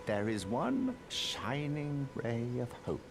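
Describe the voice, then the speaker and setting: Condescending male guide for a dark fantasy game: low, gravelly, hugely swooping theatrical drawl, mock-courteous. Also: huge pitch swings, slow, background noise, heavily acted. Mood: smug, instructive. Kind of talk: video game character dialogue.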